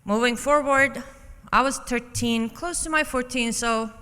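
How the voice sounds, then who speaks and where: A woman from Iran, a native Persian speaker, giving a personal testimony as a conference speaker, deliberate and emphatic with dramatic pauses. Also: fairly high pitch, sharp tone, clean tone. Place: conference hall, public address. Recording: reverberant hall.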